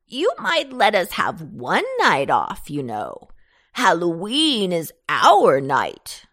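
A woman's voice: mid-range voice, wildly swooping pitch, takes it slowly, creaky, fairly bright tone, no echo, clean studio sound, exaggerated voice. A woman voicing a jolly male pumpkin leader in a children's storybook, persuasive, wheedling and emphatic. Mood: coaxing.

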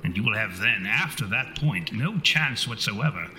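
A man's voice: fairly low pitch, lively intonation, moderate pace, bright, forceful tone. A man voicing the vengeful ghost of a conceited, long-winded parapsychologist in a tabletop horror game, pompous and theatrical in formal speech. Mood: ominous. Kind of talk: tabletop horror role-play. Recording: reverberant hall sound, noisy ambience behind.

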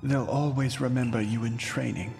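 A courteous, timid fantasy worker played by a man with a medium-pitched voice and mannered, formal phrasing. He sounds hesitant and careful, in a comedy audio drama with faint music behind him. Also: steady tone, normal speed, creaky, darkish tone, close to an everyday voice.

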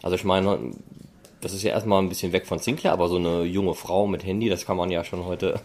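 Low-voiced casual male podcast interviewer. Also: little pitch movement, a little fast, a little mellow, a little hiss or hum.

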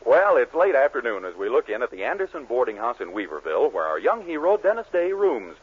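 A man's voice: mid-range voice, very animated, fast, soft background music. A man announcing and narrating a 1940s radio comedy in a polished, emphatic delivery. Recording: tinny old radio broadcast with orchestra.

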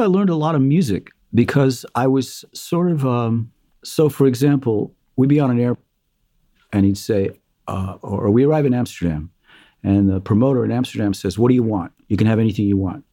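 A man being interviewed about his life as a musician, telling lively stories and slipping into the voices of the people he quotes. His tone is dark and mellow. The sound is dry and close. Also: mid-range voice, brisk, no echo. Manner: animated storyteller, acts out quotes, many fillers. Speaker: guest, musician. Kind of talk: conversational interview storytelling.

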